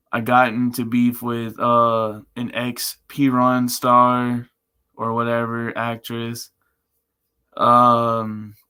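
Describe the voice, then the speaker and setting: A man with a monotone, chill voice, rambling casually and slangily as a live streamer. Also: mid-range voice, clean tone. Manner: laid-back, chill. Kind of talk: casual livestream chat.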